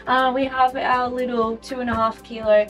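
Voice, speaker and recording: A woman's voice: a little high, flat delivery, moderate pace, a bit bright. Young aussie woman giving a caravan tour, chatty and upbeat, lots of ums, faint music under it. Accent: Australian. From Australia. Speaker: vlogger showing a caravan. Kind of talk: casual walk-through chat.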